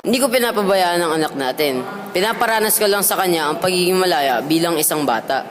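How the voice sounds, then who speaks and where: Defensive father with a swinging pitch played by a man. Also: expressive, fast, crowd noise. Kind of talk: amateur school radio drama acting.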